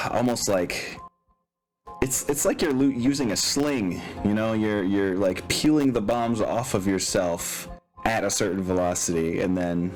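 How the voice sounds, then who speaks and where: A man with a low voice works as game master of a tabletop game. He talks casually through rules and scenes, rambling a little as he thinks out loud, with music softly audible behind him. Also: measured pace.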